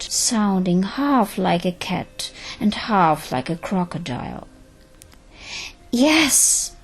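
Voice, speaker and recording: A woman's voice: on the low side, a little mellow, rough recording. A woman narrator reading a scripted, dramatic story for an audio drama. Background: faint music.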